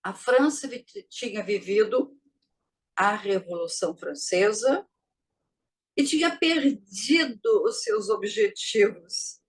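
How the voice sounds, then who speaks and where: A woman giving a teaching talk, measured and posing rhetorical questions to her listeners. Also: medium pitch, animated. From Brazil. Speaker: spiritist lecturer. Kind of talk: didactic talk to an audience.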